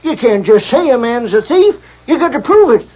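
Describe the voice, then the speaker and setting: An actor playing a fretful, cowed old sheriff with a folksy, whining drawl in a 1940s radio western. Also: male voice, extremely high pitch, big pitch swings, normal speed, exaggerated voice. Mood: exasperated. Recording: muffled vintage radio broadcast.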